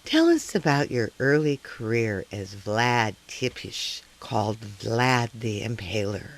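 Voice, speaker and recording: A man playing a probing, skeptical talk show host in comic live radio theater, with a deadpan, announcer-like delivery. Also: on the low side, slow, heavily acted. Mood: businesslike.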